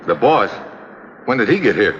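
An actor playing a tough, wry investigator with an American accent, clipped and slangy, in 1950s drama style. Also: male voice, lowish voice, big pitch swings, normal speed, fairly bright tone. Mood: bitter, surprised. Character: tough, wry, hard-boiled male investigator. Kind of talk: 1950s television drama acting. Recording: echoey, dull, noisy vintage soundtrack.

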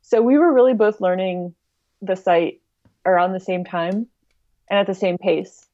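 Female podcast guest, relaxed dark-toned voice, casual conversational delivery, close dry sound a little dull. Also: mid-range voice, a little slow, dry recording. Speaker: podcast guest, online music archive director.

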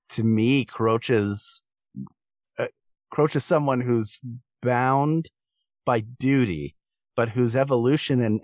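A man speaking as a guest on a discussion podcast, thinking through his phrases with a halting delivery. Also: on the low side, dry recording, clean studio sound. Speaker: guest voice actor.

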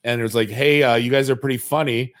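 A man in the middle range of pitch hosts a podcast, talking in a chatty, storytelling way and poking fun at himself. His voice is recorded close and dry, with no room sound. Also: steady tone, fast, fairly bright tone, no echo. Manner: chatty, storytelling, self-mocking, many restarts. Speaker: podcast host. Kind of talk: casual podcast conversation.

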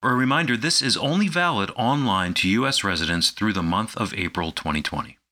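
A man's voice: fairly low pitch, animated, brisk, bright, forceful tone. A man reading a scripted promotional announcement as a radio announcer, carefully spelling out details for listeners.

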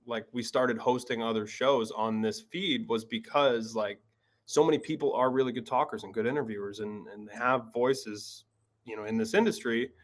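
A man's voice: medium pitch, fairly even intonation, normal speed. A man hosting a podcast, talking in a loose, easygoing way full of filler words. His voice sits at a middle height. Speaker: podcast host. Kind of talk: casual interview chat.

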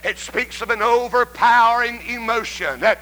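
Male revival preacher, Southern accent, very high forceful voice, fervent and emphatic delivery with rhythmic "uh" breaks. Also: moderate pace, rough recording. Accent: Southern American. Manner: emphatic, swinging between shouted cries and hushed pleading; punctuates phrases with a rhythmic "uh". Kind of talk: fervent old-time revival sermon.

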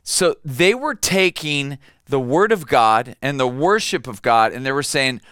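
A man preaching a sermon with a bright, forward voice and crisp diction. He sits a little high in pitch and talks conversationally, stumbling over and repeating words as he tells a story. The sound is dry and close. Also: expressive, measured pace, no echo. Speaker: pastor.

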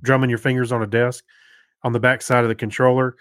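A man's voice: medium pitch, level tone, fast, fairly bright tone, dry recording, clean studio sound. A man co-hosting a podcast, chatting casually and explaining things in a loose, rambling way. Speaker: podcast co-host. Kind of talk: casual chat.

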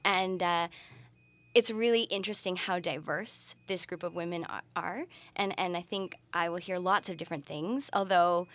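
A woman's voice: fairly low pitch, steady tone, moderate pace, a bit bright, no room sound. Thoughtful woman guest, close dry recording. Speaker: podcast guest, researcher and party presenter. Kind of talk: conversational interview answers.

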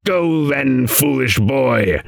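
A man plays a stern old raven, a riddling guide from a storybook. The voice sits high and croaks, swinging in pitch as it admonishes. The diction is archaic and solemn. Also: very animated, a little slow, a bit bright, no room sound, clean studio sound, full character voice. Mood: angry, resigned. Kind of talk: audiobook character voice.

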